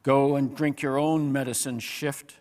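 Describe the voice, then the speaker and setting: A man's voice: medium pitch, fairly even intonation, a little slow, a little mellow, natural voice. A man voicing a bold, commanding king who calls out urgent orders in a storybook reading. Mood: furious. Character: bold commanding young king rallying his followers. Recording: long hall-like reverb.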